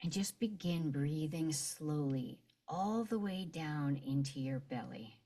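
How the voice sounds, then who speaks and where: Slow, low-voiced woman leading gentle meditation. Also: expressive. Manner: gentle, unhurried, with long pauses. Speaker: guided meditation leader. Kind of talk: slow guided meditation and visualization.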